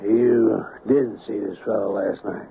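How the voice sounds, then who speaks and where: Folksy sheriff on crackly old radio. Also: male voice, fairly low pitch, steady tone, unhurried, gravelly. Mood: apologetic, probing. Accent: American. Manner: folksy, hesitant, rural turns of phrase. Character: folksy, plain-spoken small-town male sheriff, apologetic but probing. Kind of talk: old-time radio drama acting. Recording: narrow, noisy old radio broadcast.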